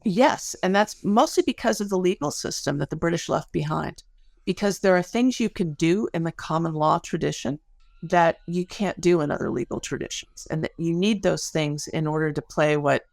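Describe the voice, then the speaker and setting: A woman's voice: lowish voice, expressive, normal speed, creaky, darkish tone, dry recording. A middle-aged woman speaking as an academic guest in a podcast interview, explaining things articulately through stories and analogies.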